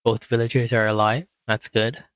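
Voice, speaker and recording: A man with a hoarse voice, quietly talking himself through a game as he plays, in a relaxed, slow, muttering way. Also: mid-range voice, no room sound, clean studio sound. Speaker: gaming video host. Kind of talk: casual gameplay commentary.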